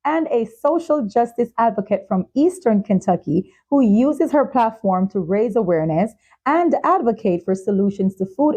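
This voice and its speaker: A woman's voice: mid-range voice, mellow. A woman hosting a talk show and podcast, speaking as the presenter to guest and audience. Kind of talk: interview hosting.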